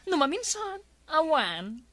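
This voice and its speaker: An actress with an Ilocano accent playing a chatty, nosy village friend in a radio comedy drama. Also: female voice, sits high, very animated, takes it slowly, pushed and bright, no room sound, heavily acted. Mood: chatty teasing.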